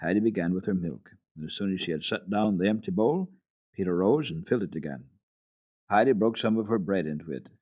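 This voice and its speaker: A man giving an expressive dramatic reading of a children's book on the radio. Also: low-pitched, fairly quick. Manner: expressive, lilting storytelling. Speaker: radio storyteller. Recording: tinny old radio recording.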